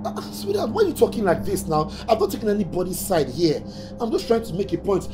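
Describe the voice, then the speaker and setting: An agitated, protective boyfriend in a film drama. He is insistent and pleading, repeating himself with a very lively pitch. Music plays clearly behind him. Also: fast talker. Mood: defensive, placating. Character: adult boyfriend, agitated, protective, then disbelieving and placating.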